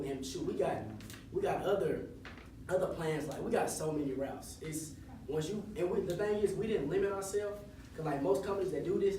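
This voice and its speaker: A man in his twenties giving a casual, encouraging motivational talk to high school students and leading them in chants. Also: warm tone. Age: 20s. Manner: casual, encouraging, leads call-and-response chants. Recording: echoey hall, voice from far off, audible room noise.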